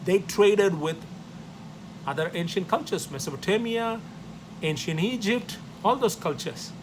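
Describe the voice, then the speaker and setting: A man with an Indian accent, Tamil his mother tongue, teaching a history lesson in loose, repetitive phrasing. His voice sits somewhat high for a man. A steady hum of background noise runs under the recording. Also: unhurried. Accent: Indian English. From South India. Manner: loose, repetitive phrasing, numbered points, many tag questions. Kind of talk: classroom history lecture.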